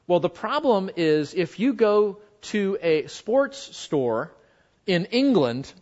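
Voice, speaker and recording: An American man giving an informal Bible lesson to a group, talking conversationally and haltingly, with frequent asides. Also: high-pitched, very animated, darkish tone. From United States. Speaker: Bible class teacher. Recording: dull, muffled lo-fi audio.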